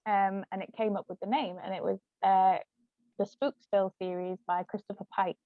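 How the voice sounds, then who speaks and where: A woman with a mid-pitched voice chats informally, building her thoughts in short phrases. The recording is dry and a little dull and lo-fi, and her talk is relaxed book chat. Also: moderate pace, no echo. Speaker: writer, discussion participant. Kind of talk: casual conversational book chat. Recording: slightly dull, lo-fi audio.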